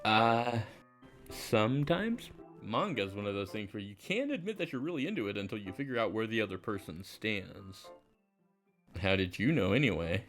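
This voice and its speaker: A man playing an awkward, mild high school boy, narrating in first person with a hesitant, understated delivery. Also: very animated, normal speed, fairly bright tone, soft background music. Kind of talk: first-person visual novel narration and dialogue.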